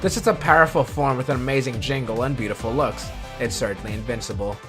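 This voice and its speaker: A man's voice: mid-range voice, fast talker. Wry man hosting a countdown over music. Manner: wry, self-deprecating asides. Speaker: video host. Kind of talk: scripted countdown commentary. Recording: background music under the voice.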